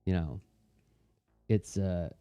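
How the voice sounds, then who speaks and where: A man's voice: low-pitched, slow pace, mellow, smooth, dry recording, faint music behind. A man in casual, reflective chat, talking in a thoughtful, rambling, conversational way as an artist hosting a livestream.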